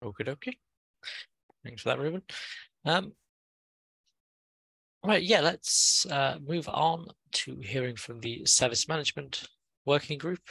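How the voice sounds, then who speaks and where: A man's voice: a little high, animated, measured pace, creaky, long pauses, sharp tone, breathy edge, dry recording, rough recording. A man casually moderating an online group call as the meeting chair.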